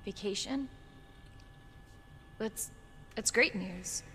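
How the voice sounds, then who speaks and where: A woman's voice: mid-range voice, extremely slow, pushed and bright. A woman acting a determined, caring young American woman in a video game cutscene, with an urgent, emotive delivery. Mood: concerned, subdued. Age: young adult. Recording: reverberant, noisy game ambience.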